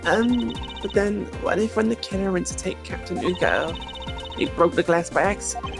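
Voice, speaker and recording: A woman's voice: mid-range voice, moderate pace, creaky, over music, natural voice. A woman voicing an airy, cheerfully oblivious young woman in a video game, speaking in a casual, breezy way. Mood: breezy, puzzled.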